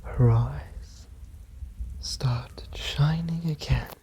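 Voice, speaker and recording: ASMR bedtime narrator, female: extremely slow, dark soft tone, whispery and breathy, rain in the background. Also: low-pitched. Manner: hushed, drawn-out phrases with long pauses. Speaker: storyteller. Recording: muffled sound with rain behind.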